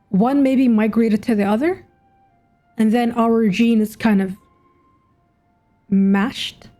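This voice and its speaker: A young woman giving casual gameplay commentary, thinking aloud in slangy, informal speech. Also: on the high side. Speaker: gamer reacting to a story quest. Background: soft game music.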